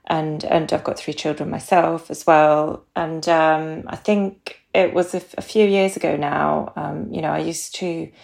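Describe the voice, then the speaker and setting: Swedish-accented woman with a low, gravelly voice. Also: little pitch movement, unhurried, few pauses, darkish tone. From Sweden. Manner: hesitant, many ums and restarts. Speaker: guest, nutritional therapist. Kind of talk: casual podcast interview.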